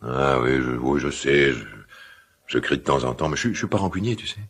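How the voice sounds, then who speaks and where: An actor playing a gruff, quick-tempered but caring middle-aged father in a radio drama, with halting, repetitive, colloquial speech. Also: lively intonation, a bit bright, exaggerated voice. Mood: earnest, affectionate. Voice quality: deep, gravelly, husky. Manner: halting, repeats himself, blustering colloquial speech. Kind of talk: naturalistic French radio drama acting.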